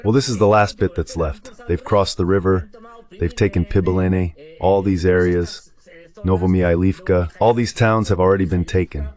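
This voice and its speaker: A man with a low-pitched, synthetic AI dubbing voice gives live map commentary. He explains step by step and repeats short phrases as he points things out. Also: steady tone, moderate pace, darkish tone. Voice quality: synthetic dubbed AI voice. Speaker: guest commentator.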